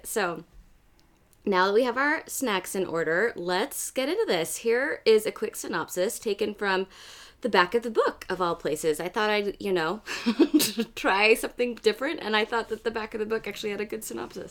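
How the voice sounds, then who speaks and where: A woman with a raspy, hoarse voice, hosting a casual book podcast and chatting loosely with friends, with many fillers. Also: mid-range voice, expressive, normal speed. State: hoarse after karaoke. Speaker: podcast host. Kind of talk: casual chat among friends.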